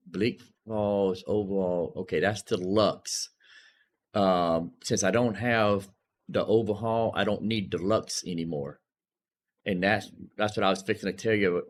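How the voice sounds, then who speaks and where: A man casually commentating as a gaming streamer, thinking aloud and informally talking through each step. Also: sits low. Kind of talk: casual gameplay and modding commentary. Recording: clearly noisy background.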